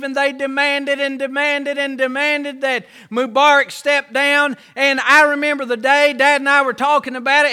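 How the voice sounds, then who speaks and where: A man's voice: very high voice, bright, forceful tone, clean tone. A man preaching a sermon, with an impassioned, repetitive cadence that rises and falls. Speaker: pastor.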